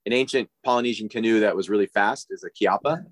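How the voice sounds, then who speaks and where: Brief: forties man, low voice, dry close sound, easygoing rambling interview guest. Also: no room sound. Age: 40s. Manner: rambling, halting, many fillers and restarts. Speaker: podcast guest, diver living on a boat. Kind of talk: casual interview chat.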